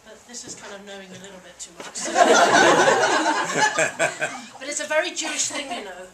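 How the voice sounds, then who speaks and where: High-voiced British woman doing stand-up. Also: very animated, measured pace. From Britain. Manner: dry, teasing, self-mocking. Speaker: stand-up comedian. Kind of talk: stand-up comedy set. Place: function room with a live audience. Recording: echoey room, mic at a distance, loud noise. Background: audience laughter.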